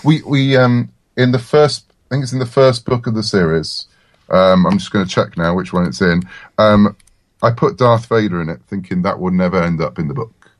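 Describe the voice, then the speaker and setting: A man talking casually as a writer guest in a podcast interview, telling stories in a self-deprecating, halting way. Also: on the low side, steady tone, no room sound.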